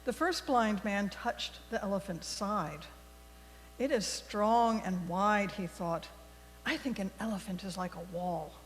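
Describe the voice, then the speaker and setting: A woman reading a story aloud to a congregation in a deliberate, expressive storybook manner. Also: medium pitch. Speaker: worship leader telling a story for all ages. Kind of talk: read-aloud storytelling in a church service. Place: large indoor congregation space. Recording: echoey hall with mains hum.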